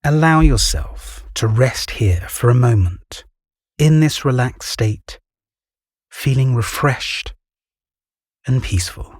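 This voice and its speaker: A British man guiding a meditation in a calm, gentle, soothing voice with measured pauses and a soft, breathy tone. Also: mid-range voice, no echo, studio quality. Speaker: meditation guide. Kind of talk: guided meditation narration.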